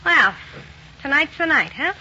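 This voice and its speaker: An American actress playing a blunt, slangy, skeptical young gangster's moll in a 1940s radio drama. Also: female voice, sits high, very animated, a little slow, bright, forceful tone, heavily acted. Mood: sly, casual. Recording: dull, noisy 1940s radio recording.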